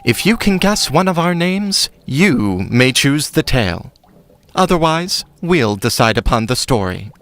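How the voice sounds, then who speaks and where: A sly male trickster played by an actor speaks slowly in a high, lively voice. His manner is theatrical and mocking, for an audio drama. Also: full character voice. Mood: courtly, sly. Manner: theatrical, deliberate. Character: sly, mocking brother of a witch, scheming trickster.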